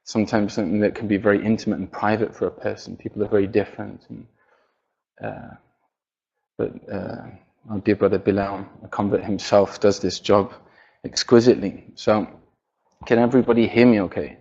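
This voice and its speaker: A man with a quiet, fairly low voice speaks to an audience. His delivery is hesitant, full of fillers and restarts. The recording sounds dull and lo-fi. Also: steady tone, a little fast, creaky. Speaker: speaker giving a talk. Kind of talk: religious lecture to an audience. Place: university hall.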